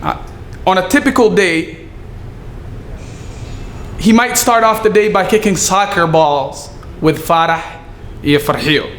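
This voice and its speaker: Man, higher pitch, earnest measured speech, echoey hall with light background hum. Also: fairly bright tone. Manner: earnest, measured delivery with some halting fillers. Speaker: city staffer giving a speech. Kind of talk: prepared public speech. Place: event hall. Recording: echoey room with faint ambience.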